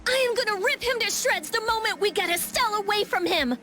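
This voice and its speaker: A woman's voice: very high-pitched, lively intonation, fairly quick, sharp tone, soft background music, exaggerated voice. A woman playing a fierce, hot-tempered young girl in a fantasy video game. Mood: furious. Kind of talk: English game dub acting.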